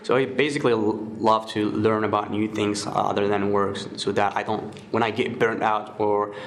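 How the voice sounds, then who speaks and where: Twenties guy from Morocco, low steady voice, relaxed, filler-heavy interview answers. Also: moderate pace, a little hiss or hum. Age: 20s. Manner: relaxed, many fillers and restarts. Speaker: guest, security researcher.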